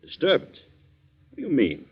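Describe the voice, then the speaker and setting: A man's voice: fairly high pitch, wildly swooping pitch, normal speed, breathy edge, full character voice. An actor playing a wealthy, stern but kindly businessman in a 1940s radio drama, speaking in an emphatic, formal way. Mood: startled. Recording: muffled vintage 1940s radio recording.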